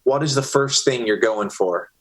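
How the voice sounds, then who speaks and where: A man hosts with hyped-up, enthusiastic energy over a video call. His voice sits at an average middle pitch. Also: moderate pace, poor audio. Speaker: podcast host. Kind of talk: upbeat interview hosting.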